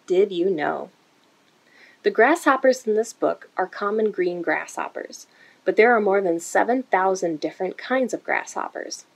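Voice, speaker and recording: A woman reads a picture book aloud to young children. Her mid-pitched voice has a husky, slightly breathy edge. She phrases things deliberately and expressively, as in a story time. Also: lively intonation. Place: indoor room.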